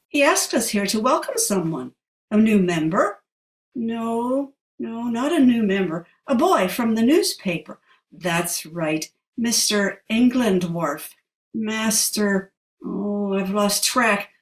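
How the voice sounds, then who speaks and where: A woman's voice: mid-range voice, lively intonation, slow pace. A woman plays a grand, forgetful elderly lady in an audio play, given to dramatic, wistful rambling. She sounds distant from the microphone in an echoey room. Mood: rambling, nostalgic. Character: wistful, grand, dotty elderly female club member. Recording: roomy echo, off the mic.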